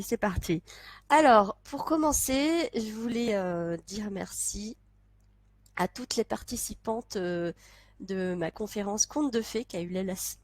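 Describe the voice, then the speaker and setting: A woman's voice: mid-range voice, darkish tone, no echo. A young woman chatting to camera with a congested, stuffy voice, laughing often and restarting her sentences. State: congested from a cold. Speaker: vlogger. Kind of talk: casual chat to camera.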